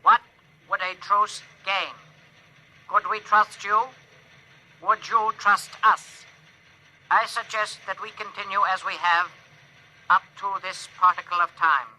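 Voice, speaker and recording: A man's voice: high-pitched, steady tone, a little slow, pauses often, pushed and bright, full character voice, poor audio. An actor in a 1950s radio drama voicing an earnest, cautious male alien with stilted, formal phrasing through a radio-transmission effect. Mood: formal, wary.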